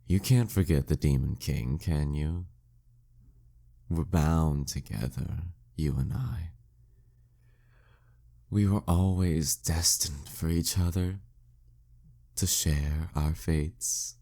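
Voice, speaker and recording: A man's voice: a bass voice, gravelly, dark tone, exaggerated voice. A man playing a flirty, dominant demon king villain in an intimate audio roleplay, teasing and smug in manner. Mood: possessive, seductive. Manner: teasing, smug, slow deliberate delivery.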